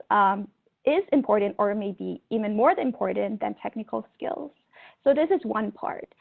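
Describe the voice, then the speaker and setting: A young woman from Thailand giving an earnest testimonial talk, steady, with frequent ums and self-corrections. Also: fairly high pitch, gravelly. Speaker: procurement manager. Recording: tinny phone-like audio.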